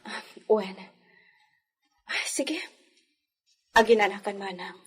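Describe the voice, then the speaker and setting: An actress playing a hurt, trusting young lady of a hacienda household in an Ilocano radio drama, her voice slightly breathy. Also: medium pitch, slow. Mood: weary, evasive.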